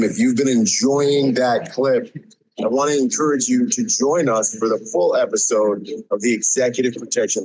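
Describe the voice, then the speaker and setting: A man's voice: medium pitch, darkish tone, breathy edge, no room sound, low-quality recording. A man reading an upbeat, scripted promo for a podcast. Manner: upbeat, direct address.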